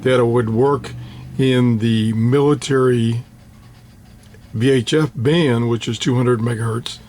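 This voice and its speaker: A man's voice: medium pitch, steady tone, slow pace, darkish tone, a little hiss or hum. Older man rambling about radio gear. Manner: rambling, hesitant, many fillers and restarts. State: slightly hoarse, clearing throat. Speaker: ham radio hobbyist vlogger. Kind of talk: casual show-and-tell.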